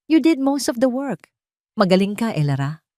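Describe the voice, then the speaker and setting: A woman voicing a calm, sincere man shyly courting, gentle and hesitant, in an audio drama. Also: fairly high pitch, normal speed, no room sound, studio quality, voice pushed up. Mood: warm, sincere.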